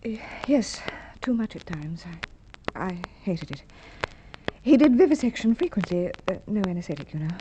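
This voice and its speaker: A woman's voice: mid-range voice, sing-song, normal speed, natural voice. A woman acting a tense, resentful wife with a secret in an old radio crime drama, speaking in a hesitant, guarded way. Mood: bitter distress. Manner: hesitant, guarded, emotionally clipped. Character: tense, resentful married woman hiding a murder. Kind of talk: Golden Age Australian radio drama acting. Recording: muffled, noisy old radio recording with clicks.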